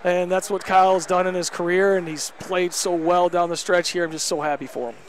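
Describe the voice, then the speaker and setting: Candid coach in a post-game interview. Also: male voice, sits high, steady tone, brisk, a little hiss or hum, rough recording. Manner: candid, emphatic, many fillers. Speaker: head basketball coach. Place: indoor gym court. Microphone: headset.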